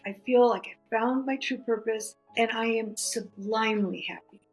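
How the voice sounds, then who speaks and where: Greek-born woman telling animated stories. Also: on the high side, normal speed, soft background music. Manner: animated, with frequent restarts and "you know". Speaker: author and podcast guest. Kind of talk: conversational storytelling.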